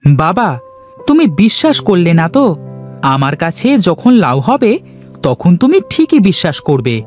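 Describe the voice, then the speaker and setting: A man's voice: high-pitched, huge pitch swings, moderate pace, a little mellow, soft background music. A man voicing an eager, earnest young farm boy in a children's fairy tale cartoon. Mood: earnest, excited.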